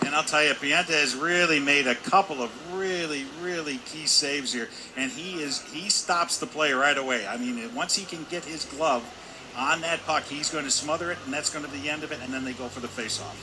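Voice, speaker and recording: A man's voice: fairly high pitch, fairly quick. A man giving conversational, emphatic color commentary on a live hockey game, folksy and repeating his points. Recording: clear background noise, arena ambience.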